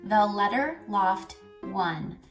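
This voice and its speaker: A woman reading a picture book aloud for children, animated, pausing to ask questions and dropping to hushed tones. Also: mid-range voice. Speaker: read-aloud storyteller for children. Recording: roomy echo, distant mic, music behind.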